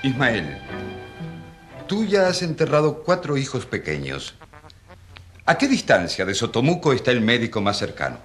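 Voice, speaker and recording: A man's voice: mid-range voice, wildly swooping pitch, moderate pace, pauses often, faint music behind. A man playing a defiant, impassioned rural priest on trial in a radio drama, rhetorical and challenging. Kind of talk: Spanish-language radio drama acting.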